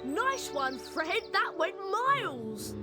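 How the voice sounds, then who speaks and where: A woman's voice: very high voice, very animated, slow pace, over loud music, exaggerated voice. A woman playing an eager, polite, earnest young wizard boy in a video game. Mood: delighted. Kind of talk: video game cutscene acting.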